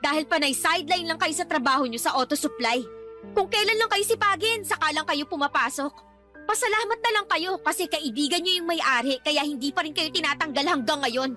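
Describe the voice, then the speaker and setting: A defiant young adult daughter in a radio drama. Her high voice is reproachful and outspoken as she talks back. Music plays faintly behind her. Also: animated, a little fast, bright, forceful tone. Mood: indignant. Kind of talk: Tagalog radio drama acting.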